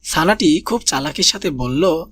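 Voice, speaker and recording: A man narrating a children's cartoon story in a gentle storybook manner, speaking to young listeners as friends. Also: on the high side, animated, unhurried, no echo. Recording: voice-over with loud background music.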